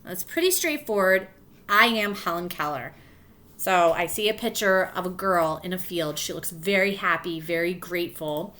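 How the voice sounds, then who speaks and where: A woman teacher reading a picture book aloud to her class, stopping to explain and check understanding. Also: fairly low pitch. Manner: warm encouraging teacher voice, pausing to explain.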